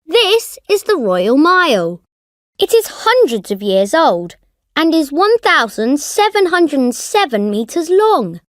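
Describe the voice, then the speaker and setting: Lively, high young child, voiced by a woman. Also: slow pace, no room sound, clean studio sound, full character voice. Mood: friendly, informative. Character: friendly young Scottish child introducing a city. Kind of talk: scripted language course recording.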